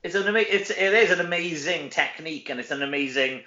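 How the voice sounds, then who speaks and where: A man's voice: on the high side, fast talker, sharp tone. A middle-aged Welsh man chatting casually as an interview guest, rambling and conversational. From Wales. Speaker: interview guest, stage actor. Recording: roomy echo, dull lo-fi audio.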